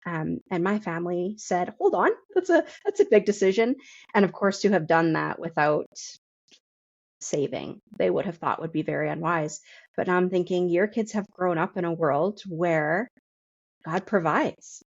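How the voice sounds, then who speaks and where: Low-voiced woman, slightly muffled recording. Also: normal speed, gravelly, husky. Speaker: podcast host, interviewer. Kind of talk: reflective conversational interview.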